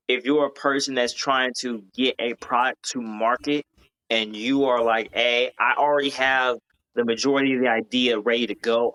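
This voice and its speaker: A man's voice: mid-range voice, bright, forceful tone, poor audio. A man from Hawaii, a podcast guest, talking in an earnest, rambling way with many fillers and restarts. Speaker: guest, founder of a gaming company. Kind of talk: casual podcast interview.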